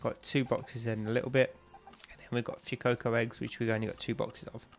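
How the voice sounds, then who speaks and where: A man's voice: mid-range voice, flat delivery, brisk, vocal fry, faint music behind. A young man with a stuffy, bunged-up nose, chatting casually and rambling with lots of ums while he streams a game. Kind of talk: casual live-stream chat while playing.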